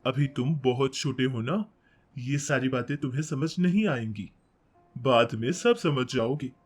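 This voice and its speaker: A man playing a principled, thrifty father who patiently instructs his child, with a husky voice. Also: medium pitch, measured pace, soft background music. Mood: patient, advising.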